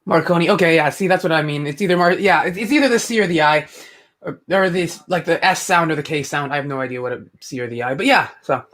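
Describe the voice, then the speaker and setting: A man's voice: on the high side, quick pace, a bit bright. A young man hosting a casual live stream, talking to viewers in a rambling, chatty way with lots of fillers and restarts. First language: English. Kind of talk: casual live commentary chat.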